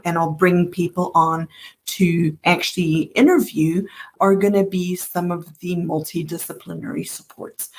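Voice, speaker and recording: A woman's voice: lowish voice, a little mellow, a little hiss or hum. A woman from Africa, hosting a solo educational podcast and explaining things earnestly, often repeating her key points. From South Africa. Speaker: podcast host.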